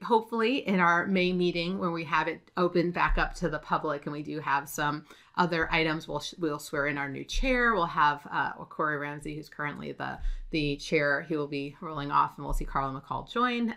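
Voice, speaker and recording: A woman's voice: sits low, moderate pace, vocal fry, pushed and bright. A middle-aged American woman giving an informal, chatty update to camera as the presenter of a professional blog. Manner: chatty, conversational. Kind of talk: informal talking-head update. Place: indoors, plain backdrop.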